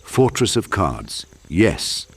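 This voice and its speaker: A man voicing a confused, forgetful, half-mad knight in an audiobook, halting and earnest. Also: deep voice, sing-song, creaky, slightly rough, heavily acted. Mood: muddled gratitude.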